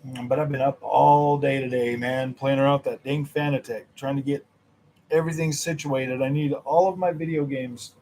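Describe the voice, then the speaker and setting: A young man hosting a gaming channel, chatting casually with his viewers, animated and laughing, his voice a little worn from nearly losing it. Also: normal speed, slight background noise, low-quality recording. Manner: animated, laughing, mimics others in a high voice. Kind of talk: casual livestream chat with viewers.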